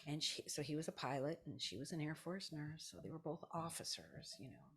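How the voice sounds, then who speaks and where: A woman's voice: sits low, big pitch swings, a little fast, slightly rough. An American woman in her sixties from South Dakota tells personal stories as an interview guest, rambling conversationally and often interrupting herself to quote people. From South Dakota, United States. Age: 60s. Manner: rambling, self-interrupting, anecdotal with quoted dialogue. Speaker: podcast guest, retired kindergarten teacher. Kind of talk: casual conversational storytelling.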